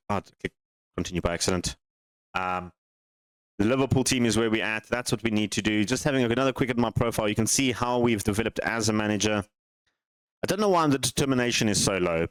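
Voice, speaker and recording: A man with a fairly low, smooth voice talks through his game save in a relaxed way. He thinks aloud, wanders off topic and asks himself questions. The sound is dry and close. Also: no echo, rough recording. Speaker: gaming channel host. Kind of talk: casual game commentary.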